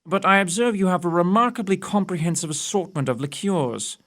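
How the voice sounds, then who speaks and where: A man's voice: high voice, fairly bright tone. A man acting in audio theatre as a shrewd, courteous detective who speaks precisely and formally. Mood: courteous, assured. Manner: precise, formal, unhurried deduction.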